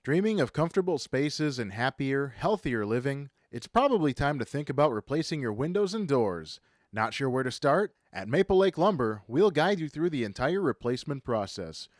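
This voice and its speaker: A man's voice: medium pitch, very animated, normal speed, no echo, studio quality. A man with an American accent reading scripted radio promos and ads. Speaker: radio announcer. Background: music.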